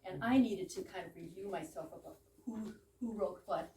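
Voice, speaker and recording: A woman's voice: lowish voice, moderate pace, mellow. Female presenter, informal explainer style, mid-range voice, roomy distant recording with faint noise. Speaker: presenter introducing a play she acts in. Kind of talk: informal explanatory introduction to an audience. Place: room in a private home with a small audience. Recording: echoey room, distant mic, background noise.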